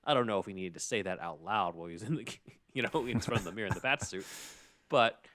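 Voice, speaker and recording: Man, low-pitched voice, podcast host, casual explanatory chat, analytical fan enthusiasm. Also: quick pace, a crowd in the background.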